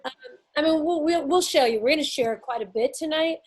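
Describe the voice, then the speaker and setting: A middle-aged woman from Nashville with a Southern accent, co-hosting a podcast in casual, laughing chat with frequent ums. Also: sits high. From Nashville, Tennessee. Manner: chatty, laughing often, drawn-out pauses with ums. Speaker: podcast co-host.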